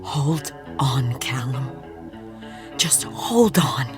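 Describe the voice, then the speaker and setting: A woman playing a defiant young sister in a supernatural drama, speaking deliberately and often dropping to a whisper. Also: a bass voice, lively intonation, over loud music, heavily acted. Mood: defiant, pleading. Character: tense young woman, defiant sister confronting brother's possessor. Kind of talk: dramatic scripted acting.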